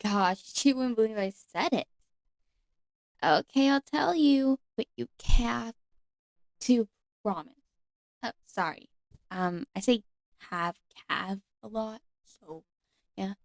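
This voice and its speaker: A woman voicing a lonely, ancient nature fairy, sweet and timid, speaking haltingly and sometimes whispering in an ASMR roleplay. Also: fairly high pitch, dry recording. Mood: timid, tender. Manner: hesitant, halting, apologetic, often whispering. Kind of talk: ASMR roleplay, one-sided conversation with the listener.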